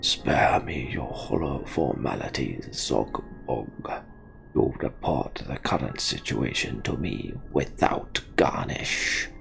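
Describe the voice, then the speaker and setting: A menacing demon count from a fantasy game, speaks in a very low, gravelly whisper. The delivery is slow and haughty, with cold disdain for those beneath. Music plays underneath. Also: male voice, lively intonation, a bit bright, full character voice. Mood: cold, impatient. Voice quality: deep, breathy, growling whisper.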